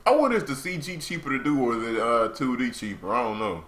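A young man reacts casually to an anime in loose slang, joking as he goes. His voice is bright and forceful, and his pitch swings widely. It is recorded in a small, echoing room. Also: lively intonation, fast talker. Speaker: reaction video host. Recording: roomy, echoing home recording.

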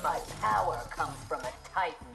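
A woman's voice: low voice, expressive, moderate pace, gravelly. An actress playing a proud, combative cyber-enhanced female warrior in a video game, defiant and scornful. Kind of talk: video game character dialogue. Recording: loud music behind.

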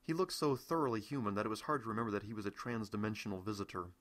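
American guy reading his audiobook, lowish voice but really bright. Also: racing. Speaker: author reading his own novel. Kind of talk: scripted first-person audiobook narration.

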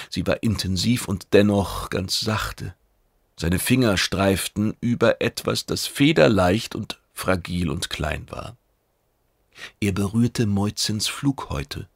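Man, science fiction audiobook narration, very low pitch, creaky rasp, scripted storytelling. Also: no echo, clean studio sound.

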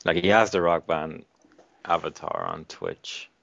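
A man from the Netherlands chatting casually and haltingly, on a slightly dull recording. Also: deep voice, creaky, fairly bright tone, no room sound. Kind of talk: casual chat.

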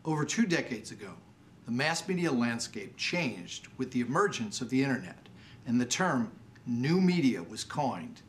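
A man in his sixties hosting with a formal, scripted, clearly worded presentation. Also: mid-range voice, big pitch swings, normal speed. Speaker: awards show host. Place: indoor TV studio set.

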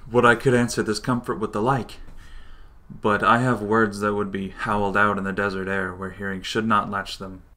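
A man acts a sombre nobleman bringing dreadful news in a radio tragedy. He is restrained and flat in pitch, with a lowish, bright, firm voice. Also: normal speed. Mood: grave, reluctant. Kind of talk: Shakespearean radio drama.

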